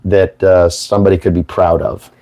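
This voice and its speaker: A hoarse man hosts a casual morning chat, rambling along with folksy jokes. His voice sits a bit low. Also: level tone, moderate pace, mellow. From Maine, United States. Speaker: host.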